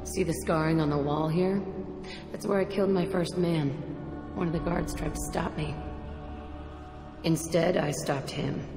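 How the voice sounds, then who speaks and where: A woman's voice: low-pitched, steady tone, a little slow, pauses often, music in the background. A woman playing a hardened, foul-mouthed, wounded young ex-prisoner in a video game, blunt and defiant in delivery. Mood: bitter, haunted. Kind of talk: video game cutscene acting. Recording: echoey hall, clear background noise.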